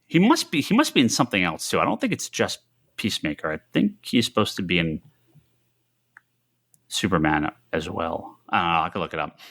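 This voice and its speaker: A man chatting casually as a podcast co-host, thinking aloud in a halting, rambling way. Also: lowish voice, bright, forceful tone. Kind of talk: casual chat about comics and films.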